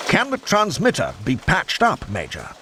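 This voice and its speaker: An actor playing a genial British senior officer in a video game, with a British accent and hearty, old-fashioned military politeness. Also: male voice, high voice, wildly swooping pitch, moderate pace, background noise. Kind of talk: video game cutscene acting.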